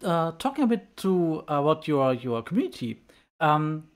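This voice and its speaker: A woman hosting a convention interview, who asks questions in a gentle, earnest and sometimes halting way. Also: low voice, big pitch swings. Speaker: convention host.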